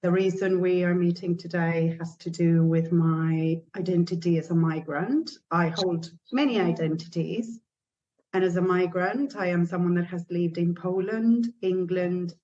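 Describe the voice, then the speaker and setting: A woman's voice: fairly low pitch, fairly even intonation, normal speed, dark tone, slight background noise. A woman from Argentina, answering at length in a reflective, articulate way. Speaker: interviewee. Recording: dull lo-fi recording.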